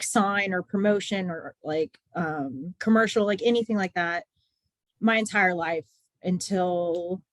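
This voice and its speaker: A woman in her twenties from Texas, a nurse and patient advocate calling in to a podcast interview, who talks in a flat, monotone way with lots of "like" and "um". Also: on the low side, no echo. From Texas, USA. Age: 20s. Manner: self-described monotone, flat delivery; loose, chatty, many "like" and "um" fillers. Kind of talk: casual interview chat. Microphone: remote call-in.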